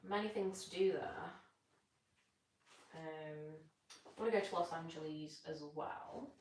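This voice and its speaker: A woman's voice: low voice, a little slow, a little mellow, a little hiss or hum. A young British woman chatting casually on a live stream while she stretches, rambling and answering comments. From Britain. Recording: echoey room, distant from mic.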